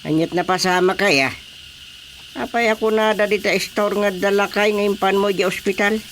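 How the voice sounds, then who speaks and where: Radio comedy role: bossy, grumbling Ilocano village chief, fairly high male voice, faint noise behind. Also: fairly even intonation, moderate pace, a bit bright. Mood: annoyed. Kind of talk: radio comedy-drama acting.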